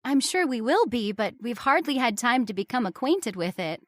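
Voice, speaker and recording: A woman's voice: fairly high pitch, big pitch swings, moderate pace, no echo, clean studio sound. A woman playing a poised young American daughter, speaking politely and formally in an old-time radio drama style. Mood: polite, gracious. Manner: polite, formal, well-spoken.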